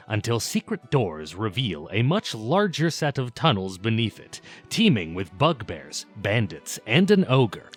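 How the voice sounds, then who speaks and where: A man's voice: mid-range voice, a bit bright. A man narrating a scripted video essay in an informal, opinionated way, with casual asides.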